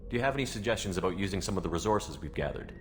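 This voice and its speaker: Deep-voiced, brisk commander. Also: male voice, lively intonation, soft background music. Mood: curious, businesslike. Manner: direct, plainspoken, inquisitive. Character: human male military commander, steady, supportive, direct. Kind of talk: video game dialogue acting.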